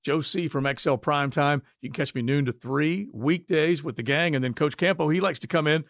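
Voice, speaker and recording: A man's voice: on the high side, a little fast, a bit bright, no echo, studio quality. A man hosting a casual sports talk show in a folksy, joking manner. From southern United States.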